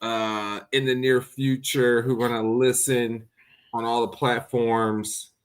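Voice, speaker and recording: A man hosting a casual podcast, relaxed, with filler ums as he wraps up the show. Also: medium pitch, takes it slowly, smooth. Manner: relaxed, with filler ums while listing thank-yous. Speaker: podcast host. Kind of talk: casual podcast chat and sign-off.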